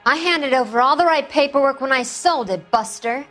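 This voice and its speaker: A woman's voice: high-pitched, normal speed, fairly bright tone, soft background music. A woman playing a brassy, slangy, self-pitying female car thief who wheedles and flirts, in 1940s crime game acting. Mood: sulky, defiant.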